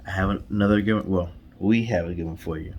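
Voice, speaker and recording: Male storytime co-host, slightly low voice, casual narration. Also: quick pace, a little mellow, slight background noise.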